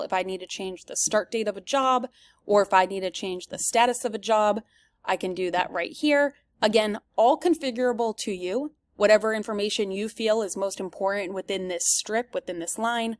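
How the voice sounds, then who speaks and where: Woman walking thru a software demo, explains stuff step by step, medium pitch, really dry recording no room sound. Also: no room sound. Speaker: presenter giving a software demo. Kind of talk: product demo walkthrough, semi-scripted presentation.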